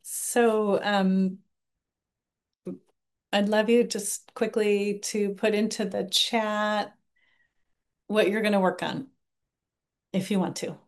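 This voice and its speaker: A woman teaching a mindfulness class over a video call, leading a guided reflection in a gentle, unhurried way with frequent pauses. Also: mid-range voice, steady tone. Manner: gentle, unhurried, pausing often.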